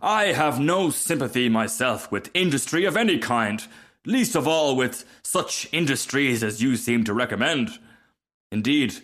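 A man voicing a haughty, self-important firework rocket in a storybook, making pompous, drawn-out pronouncements. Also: medium pitch, fairly bright tone. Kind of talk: storybook character reading.

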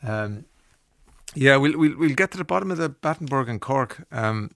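A man's voice: mid-range voice, lively intonation, normal speed, creaky, a bit bright, dry recording, studio quality. An Irish man hosting a radio show, chatting easily and reading out listener messages. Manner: easygoing, chatty, reads messages aloud. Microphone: studio microphone.

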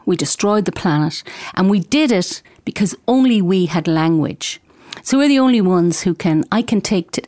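A woman with a lowish voice talks about her book, thoughtful and earnest, pausing now and then to find her words. The sound is dry and close. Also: creaky, a little mellow, no echo. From Ireland. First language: Irish. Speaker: author. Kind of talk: explaining her book in conversation.

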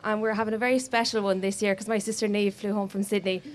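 Fast-talking woman. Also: mid-range voice, flat delivery. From Ireland. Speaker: member of the public. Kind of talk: on-air greeting. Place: outdoor street crowd.

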